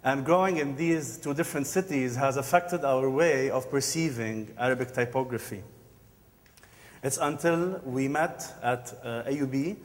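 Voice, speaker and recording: A man's voice: medium pitch, moderate pace. Man presenting in a reverberant hall. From Dubai. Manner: earnest, lecturing with occasional fillers. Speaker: design studio co-founder. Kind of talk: conference presentation. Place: large conference hall. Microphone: handheld or podium microphone.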